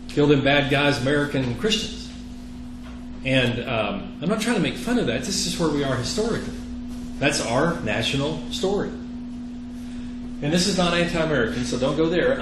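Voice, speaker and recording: A man who is a native Italian speaker, teaching a religion class in an earnest, emphatic way and often putting questions to his students. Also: on the high side. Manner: earnest, emphatic, quizzing listeners with rhetorical questions. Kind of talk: interactive religious lecture. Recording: roomy, echoey classroom with clear background noise.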